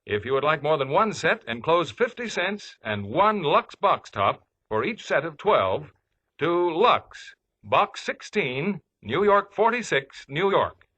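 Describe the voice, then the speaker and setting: A man's voice: fairly high pitch, very animated, slow pace, bright, forceful tone. An American man reading a scripted 1950s radio commercial as announcer, in a polished, emphatic salesman's manner.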